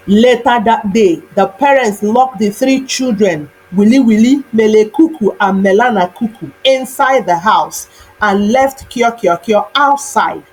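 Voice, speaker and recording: Slow, high-voiced woman telling a folktale. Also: breathy edge, poor audio. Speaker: storyteller. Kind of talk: scripted children's folktale narration.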